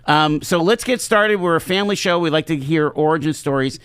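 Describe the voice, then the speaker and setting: A man hosting a live talk show from a studio, upbeat and quick with fillers. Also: on the high side, a bit bright, clean tone, no room sound. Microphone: studio mic.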